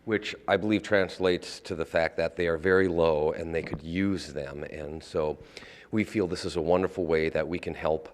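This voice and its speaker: A man giving measured, slightly formal interview answers as a community organization's representative. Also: low-pitched, fairly even intonation. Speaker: theater representative being interviewed. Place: on location inside a community theater. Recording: long reverberant room sound.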